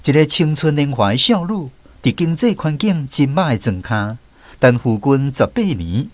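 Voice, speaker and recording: A man's voice: mid-range voice, big pitch swings, moderate pace, no echo. A man with a Taiwanese accent hosting a radio programme and narrating a scripted story. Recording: music playing behind the voice.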